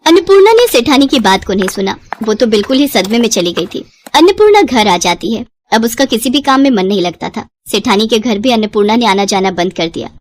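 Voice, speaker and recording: A woman's voice: on the high side, big pitch swings, fairly quick, few pauses. A woman reading a scripted moral story as its narrator.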